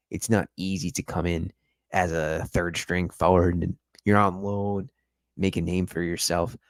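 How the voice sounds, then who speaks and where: Deep-voiced man reviewing a match. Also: gravelly, a little mellow, no room sound. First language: English. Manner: chatty, analytical, addresses viewers directly. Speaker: football podcast host. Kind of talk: live-stream match review.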